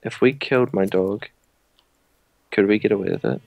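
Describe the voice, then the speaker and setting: A laid-back young man with a New Zealand accent jokes his way through a game session. His voice is low and gravelly. It is recorded dry and close. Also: normal speed, darkish tone, no echo, muffled recording. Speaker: gamer, co-host. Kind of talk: casual let's play chat.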